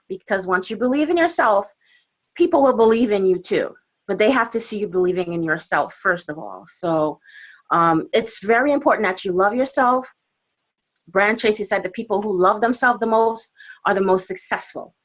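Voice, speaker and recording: A woman with a Trinidadian accent coaches a sales team over a webinar in an energetic, chatty way. She fills her talk with "you know" and acts out little quotes. Her voice sits at a middle pitch, and the call audio is slightly dull. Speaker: guest trainer on a team webinar.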